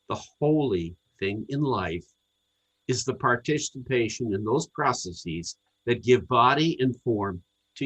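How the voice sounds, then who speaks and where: A man reading a scripted sermon to a congregation in a measured, earnest, deliberate way. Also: mid-range voice, slightly rough, poor audio. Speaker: congregational service speaker.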